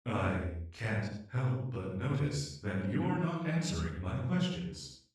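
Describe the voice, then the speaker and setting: A man voicing an anxious, polite, humanlike artificial intelligence in an emotional audio drama, speaking in a measured, formal, hesitant way. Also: fairly low pitch, sing-song, a little mellow. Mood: puzzled, wary. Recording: echoey, distant-sounding room.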